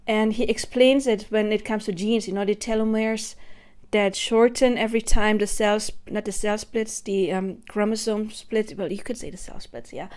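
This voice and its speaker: A woman's voice: mid-range voice, steady tone, normal speed, darkish tone. A woman in her thirties giving a spontaneous, earnest monologue to camera about her spiritual beliefs, thinking aloud as she goes. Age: 30s. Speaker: spiritual vlogger.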